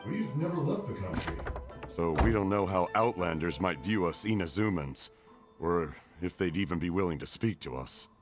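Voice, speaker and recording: A man voicing a kindly, doting older father in an anime-style video game, gentle and slightly befuddled. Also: sits low, very animated, moderate pace. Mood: worried, fond. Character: kindly, doting older father, hard-of-hearing fireworks maker. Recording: faint music behind dialogue.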